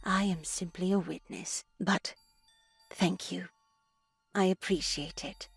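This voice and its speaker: An older nun preaches with formal, unhurried diction. She is grandly pious and a little too zealous, like a horror game's holy matron. Faint music plays behind her. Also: lowish voice, fairly even intonation, creaky, breathy edge, no echo, heavily acted. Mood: soothing, patronizing. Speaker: voice actor in a visual novel. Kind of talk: dramatic video game acting.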